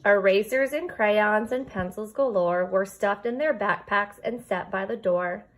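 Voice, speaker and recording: A woman's voice: medium pitch, unhurried. Woman reading a bedtime story to children. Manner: expressive, speaking directly to young children. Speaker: kindergarten teacher. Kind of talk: animated read-aloud of a children's picture book with chatty asides.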